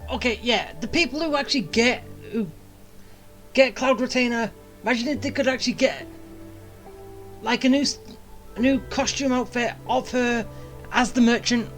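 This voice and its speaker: A game streamer giving casual playthrough commentary in a halting way, repeating phrases. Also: mid-range voice, fairly bright tone. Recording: game music behind the voice.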